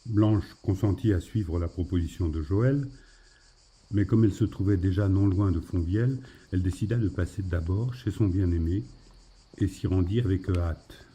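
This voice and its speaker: Very dark, low-voiced male storyteller. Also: moderate pace, breathy edge. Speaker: narrator of a fiction. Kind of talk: scripted literary story narration.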